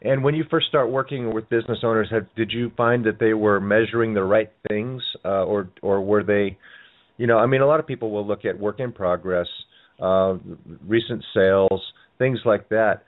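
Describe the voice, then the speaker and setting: A man's voice: fairly low pitch, normal speed. A man hosting a radio interview show, asking rambling questions that he often restarts. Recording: tinny phone-like sound.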